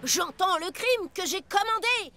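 A woman voicing a boastful, excitable little boy who plays superhero in a cartoon, grandiose and theatrical. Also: very high-pitched, measured pace. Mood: pompous. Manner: grandiose, self-important, theatrical. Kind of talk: animated cartoon acting. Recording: music behind the voice.

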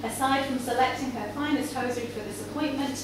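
A woman's voice: sits high, brisk. A woman reading a story aloud to a live audience in an indoor cafe bar, recorded from a far camera. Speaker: reader at a live literary event. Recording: echoey noisy room, far from microphone. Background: audience ambience and background noise.

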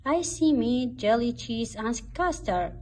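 A woman's voice: a little high, expressive, takes it slowly, darkish tone, slight background noise. A woman voicing a modest, timid little country mouse from a storybook, in a simple, careful way. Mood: delighted.

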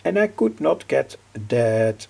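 An older man giving an informal technical explanation as a hobbyist presenter, halting and repeating his phrases. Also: mid-range voice, very animated, warm tone, slight background noise. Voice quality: gravelly, husky.

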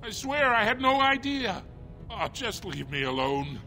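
A man's voice: extremely high pitch, very animated, a little slow, sharp tone, soft background music, heavily acted. A man voicing a grumpy, defensive dwarf shopkeeper in a video game, exasperated and grudging. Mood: defensive, whiny. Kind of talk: video game dialogue acting.

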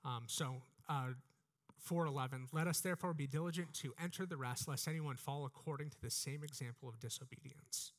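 A man's voice: on the high side, fairly quick. A man in his thirties with an American accent, giving an earnest, exhorting sermon into a headset mic, often repeating phrases. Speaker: preacher. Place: church stage, indoors.

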